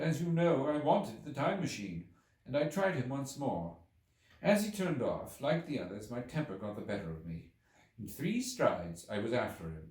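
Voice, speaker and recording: A man reading a literary story aloud as an audiobook, with theatrical, expressive delivery. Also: medium pitch. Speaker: audiobook reader. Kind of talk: dramatic literary audiobook narration. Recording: roomy echo, distant microphone.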